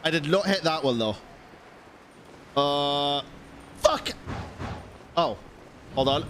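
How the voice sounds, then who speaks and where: Animated, fairly high-voiced male game streamer. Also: takes it slowly, slight background noise. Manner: expressive, slangy, sweary. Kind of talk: casual live gaming commentary. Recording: game music behind the voice.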